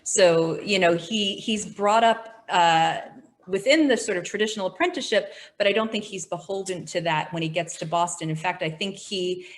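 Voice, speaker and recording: A woman on a museum panel, answering audience questions in measured, scholarly explanations. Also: fairly low pitch. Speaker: furniture historian answering questions.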